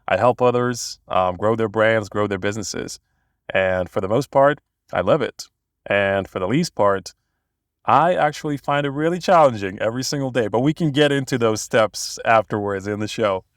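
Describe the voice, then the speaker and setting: Guest, young Bosnian man, deeper voice, keen storyteller, dry close mic. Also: moderate pace, no echo. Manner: enthusiastic, storytelling. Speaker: guest, copywriter. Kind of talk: podcast interview.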